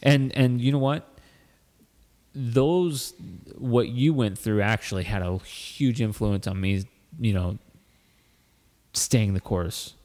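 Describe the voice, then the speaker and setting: A man with a lowish voice, talking earnestly and rambling with many restarts as a podcast host. The sound has a long, hall-like reverb. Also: slow, a little mellow. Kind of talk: casual chat.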